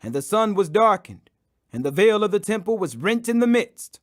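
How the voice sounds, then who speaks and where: A man's voice: high voice, big pitch swings, normal speed. A man reading scripture aloud as a dramatic audiobook, in a solemn, declamatory manner. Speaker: scripture reader.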